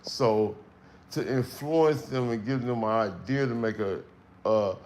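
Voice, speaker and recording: A middle-aged man with a strong, carrying voice, speaking slowly and emphatically in a casual livestream monologue with long pauses. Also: mid-range voice, a little mellow, a little hiss or hum. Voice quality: voice that carries, strong tone. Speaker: livestream host.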